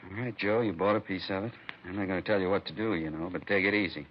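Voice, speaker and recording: A gruff police captain played by a man with a low voice and quick, plain-spoken delivery. He sounds like a careful senior officer in a 1950s radio drama, heard through a muffled recording with faint noise. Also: steady tone, close to an everyday voice. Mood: cautious, concerned.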